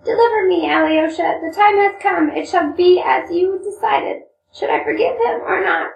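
A woman's voice: extremely high pitch, normal speed, vocal fry, lo-fi sound, pitched above the performer's own voice. A woman playing an emotional young Russian woman in a storybook reading, pleading with dramatic questions. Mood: torn, pleading. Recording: roomy, distant microphone, noisy hum.

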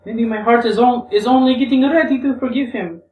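A woman's voice: fairly high pitch, a little fast, highs cut off, voice pushed up, natural voice. A woman playing a volatile, wounded young Russian woman in a storybook reading, with theatrical exclamations. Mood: wistful, wounded. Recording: roomy, distant microphone.

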